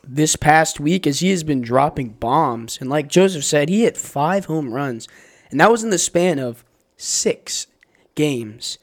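A man co-hosting a sports podcast, hoarse and raspy from a sore throat, chatting in a laid-back way. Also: a little high, expressive, a little slow. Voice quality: raspy, losing his voice. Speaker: podcast co-host. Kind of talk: casual sports podcast chat.

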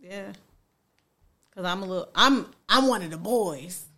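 A woman with a deep voice, co-hosting a podcast and chatting in a teasing, blunt, slangy way. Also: animated, a little slow, fairly bright tone. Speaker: podcast co-host. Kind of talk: casual chat.

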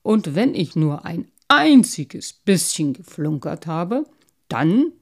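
A woman voicing a thoughtful, loyal male carp in a storybook, speaking deliberately with bubbling interjections. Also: low-pitched, lively intonation, mellow. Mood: solemn, sincere. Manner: deliberate, bubbling blub interjections between phrases. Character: slow, thoughtful old-fashioned male carp, loyal and easily moved. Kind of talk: storybook character voice.

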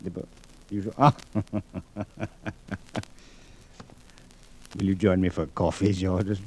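An actor playing a measured, dryly amused police chief in an old radio drama, speaking formally. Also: male voice, deep voice, level tone. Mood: reflective, amused. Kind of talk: 1940s-50s radio drama acting. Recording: slightly noisy old radio recording.

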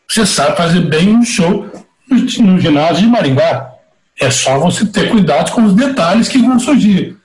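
A middle-aged or older Brazilian man with a Brazilian Portuguese accent, telling anecdotes casually and giving emphatic advice as an interview guest. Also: a little high. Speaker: interview guest, veteran concert producer. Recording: roomy, echoey sound.

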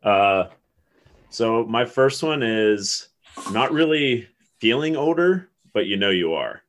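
A man's voice: mid-range voice, lively intonation, takes it slowly, a little hiss or hum. A man in his thirties from Ohio, co-hosting a casual podcast chat in a rambling, laid-back way and laughing often. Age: 30s. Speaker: podcast co-host.

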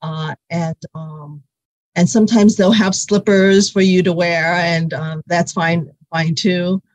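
A woman physical therapist answering interview questions in a halting way, with many fillers and restarts, whose first language is Cantonese. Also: on the low side, steady tone, darkish tone, no room sound.

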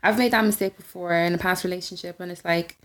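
A woman's voice: lowish voice, fairly even intonation, quick pace. A woman in casual podcast chat, emphatic, often laughing and quoting people.

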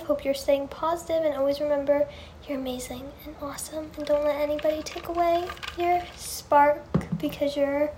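A woman with a high, girlish voice chatting casually on a livestream, answering fan questions in a gushing, earnest way and often repeating herself. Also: slight background noise.

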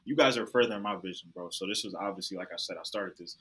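A man's voice: deep voice, fast, fairly bright tone, a little hiss or hum. A young adult man hosting a podcast in a casual, upbeat, slangy way. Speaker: podcast host.

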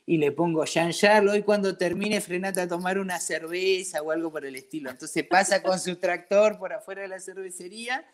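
A man's voice: sits high, brisk, hardly any pauses. A man from Patagonia with an Argentine accent, chatting casually and colloquially as he tells stories and acts out bits of dialogue. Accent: Argentine Spanish. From Patagonia, southern Argentina. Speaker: interviewee, craft brewery owner. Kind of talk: casual conversational storytelling.

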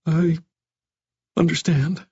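Man voicing a high-pitched, terrified, cringing doctor. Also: wildly swooping pitch, takes it slowly, fairly bright tone, muffled recording, exaggerated voice. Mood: meek. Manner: stammering, pleading, wailing. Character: terrified, cringing, vain middle-aged male doctor. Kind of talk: audiobook character voice.

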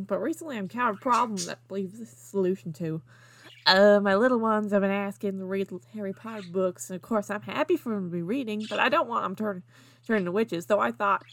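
A woman's voice: medium pitch, fairly bright tone. A woman voicing a gushing, wholesome mother and author with a Southern US accent, reading her note in a sugary, earnest way.

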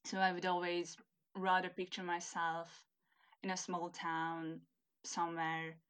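Young hungarian woman chatting in english, lowish voice, laughs a lot, hunts for words. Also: monotone, a little slow, a bit bright, clean tone. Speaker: interviewee, student. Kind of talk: casual conversation.

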